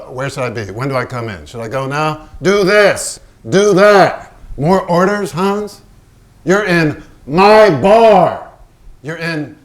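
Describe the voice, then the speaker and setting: Man acting volatile stage character, boastful and bitter, mid-pitched voice, dramatic delivery, theatrical reading. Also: natural voice. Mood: angry, defiant. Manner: dramatic, swinging from muttering to barked shouts. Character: volatile, bitter, boastful male painter and his bossy filmmaker. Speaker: stage actor.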